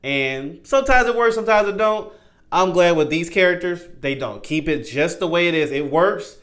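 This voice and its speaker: A man's voice: on the high side, lively intonation, normal speed, a bit bright. A man hosting a reaction video, chatting in a laid-back, rambling, conversational way. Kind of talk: casual reaction commentary.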